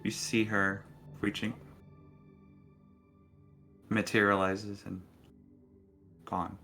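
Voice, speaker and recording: A man narrating a tabletop game as game master in a deliberate, suspenseful, dramatic style. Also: lowish voice, little pitch movement, bright, forceful tone, soft background music.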